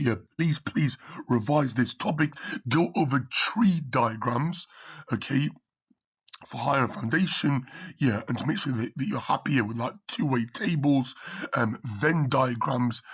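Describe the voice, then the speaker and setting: A man working through a maths exam question as a tutor, his voice croaky from partly losing it, peppering his talk with "okay" and "yeah". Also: medium pitch, muffled recording. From United Kingdom. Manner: repeats "okay" and "yeah" often. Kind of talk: exam revision walkthrough.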